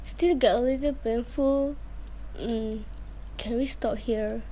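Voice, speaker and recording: A hurt, worn-down young daughter played by a woman in an amateur radio drama. She speaks slowly and hesitantly in a soft-toned, higher-pitched voice, subdued as she confesses. Faint noise sits behind her. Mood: weary, resigned. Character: weary, hurt daughter belittled by her parents.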